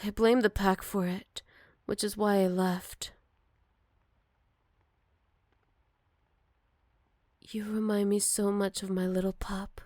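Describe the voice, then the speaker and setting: Motherly she-wolf, played by a woman, older, protective, tender and unhurried. Medium pitch, intimate dry ASMR roleplay delivery. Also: little pitch movement, dry recording, close to an everyday voice. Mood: sorrowful, tender. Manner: soft, caring, unhurried, slipping into whispers. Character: gentle motherly older female werewolf, protective and wistful. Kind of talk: ASMR roleplay, intimate one-sided dialogue to listener.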